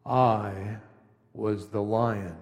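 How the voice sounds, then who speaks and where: A man voicing a solemn, gentle, all-knowing great lion, speaking slowly and gravely as he reads a storybook aloud. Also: fairly low pitch, fairly even intonation, voice pushed down. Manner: slow, grave, measured delivery. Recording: long reverb of a large hall.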